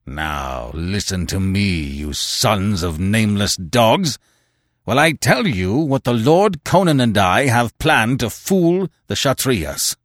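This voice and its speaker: A man puts on a big-bellied hill chief with a bull-like voice that growls low and then bursts into roars. He barks commands at his warriors, and his speech jumps wildly in pitch. The sound is close and dry. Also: animated, measured pace, no echo. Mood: commanding. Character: burly bellowing hill-tribe chief, older man.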